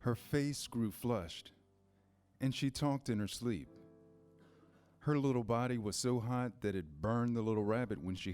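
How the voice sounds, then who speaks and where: A man narrating a scripted children's story in measured, pausing phrases. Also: mid-range voice, a little mellow. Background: music, guitar.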